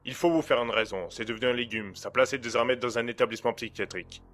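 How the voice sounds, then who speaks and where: A man playing a cold, menacing blackmailer in an audio drama, speaking in a smooth, condescending and formal way. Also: medium pitch, quick pace, fairly bright tone, faint music behind, natural voice. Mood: coldly threatening. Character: cold, menacing male blackmailer and hacker, smugly in control. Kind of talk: amateur audio drama acting.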